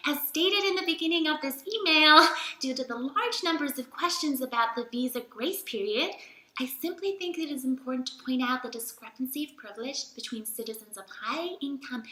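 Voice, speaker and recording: An earnest, polite expatriate scholar lectures in formal phrases, acted by a woman in a dramatic reading. The voice is high and bright, ringing in a very echoey room. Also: animated, moderate pace. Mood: earnest, admonishing. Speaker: performer in a dramatic reading of emails.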